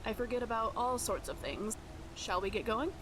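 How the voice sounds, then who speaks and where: Loud rumbling noise sits behind a woman playing an eager young tinkerer in a fantasy video game. She is playful and chatty, with a voice that swings up and down expressively. Her tone is that of a scatterbrained companion on an adventure. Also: expressive, moderate pace, natural voice. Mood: chatty, absorbed.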